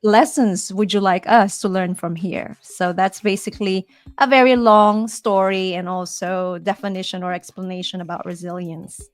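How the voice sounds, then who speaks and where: Earnest, expressive woman co-host. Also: medium pitch, moderate pace. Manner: earnest, flowing and expressive, with frequent "you know" and light laughs. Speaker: podcast co-host. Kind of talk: reflective conversational talk.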